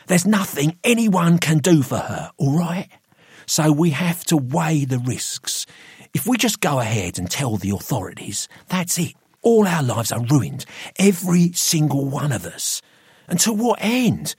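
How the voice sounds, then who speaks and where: Male narrator as a domineering man in a story, raised pitch, sharp, hissing, urgent, cold, contemptuous. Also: big pitch swings, a little slow, breathy edge, no echo, studio quality, exaggerated voice. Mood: sharp, commanding. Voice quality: hissed, sharp, urgent delivery.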